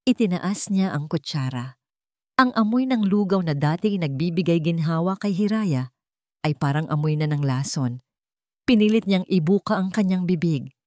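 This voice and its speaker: A woman with a Filipino accent reading a scripted love story in a measured, dramatic way, in short phrases. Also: lowish voice, expressive, warm tone, no echo, studio quality.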